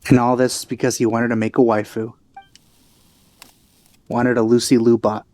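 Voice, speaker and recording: A man's voice: medium pitch, little pitch movement, moderate pace, a little mellow, dry recording. A man giving casual, off-the-cuff commentary as he plays a video game, speaking into a headset. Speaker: let's player. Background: game music.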